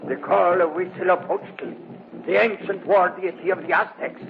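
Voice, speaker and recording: A male actor playing a sinister, gloating Latin American guide and high priest, mock-courteous and menacing, in old radio drama style. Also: little pitch movement, moderate pace, gravelly. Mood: ominous. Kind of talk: 1950s radio drama acting. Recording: noisy, muffled old radio recording.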